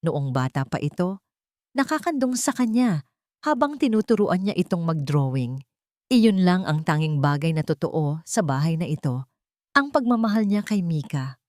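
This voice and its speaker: A woman narrating a dramatic romance story in a measured, somber reading. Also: lowish voice, expressive, a little mellow, dry recording, clean studio sound.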